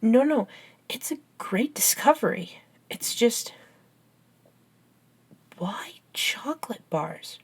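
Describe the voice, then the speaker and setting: A woman playing a caring, curious, science-minded young best friend in an ASMR roleplay, with an earnest, halting, gently teasing manner. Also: on the high side, big pitch swings, husky, heavily acted. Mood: amazed, curious. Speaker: amateur audio roleplay voice actor.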